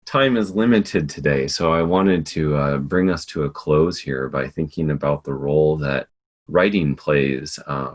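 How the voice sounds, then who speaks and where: A man hosts an education podcast and guides his guests with calm, affirming questions. His voice sits extremely low. He sounds steady and unhurried. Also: husky. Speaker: podcast host. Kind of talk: podcast interview.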